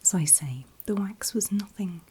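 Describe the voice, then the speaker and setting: A woman whispering very gently and reassuringly in a breathy, unvoiced ASMR style, as a medical examiner in a roleplay, close to the microphone. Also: mid-range voice, expressive, normal speed, a little hiss or hum. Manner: gentle, reassuring, checking in often. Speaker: ASMR performer playing an ear examiner.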